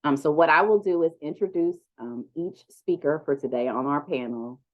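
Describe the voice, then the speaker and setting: Low-voiced woman moderating a video call. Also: normal speed. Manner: relaxed, chatty, with many ums. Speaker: panel moderator. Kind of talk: online conference panel introductions. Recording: dull lo-fi video call audio.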